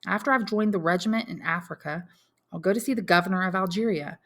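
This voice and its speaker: A woman's voice: on the low side, quick pace. A woman voicing an earnest, resolute young man who firmly reassures his mother in an audiobook. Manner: firm, reassuring, insistent. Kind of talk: audiobook character dialogue.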